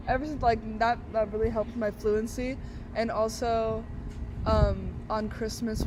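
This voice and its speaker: Young woman from brazil rambling casually outside, traffic noise behind her. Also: mid-range voice. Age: young adult. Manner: chatty, many fillers and restarts. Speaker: interviewee. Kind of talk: casual interview outdoors. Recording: outdoor street noise.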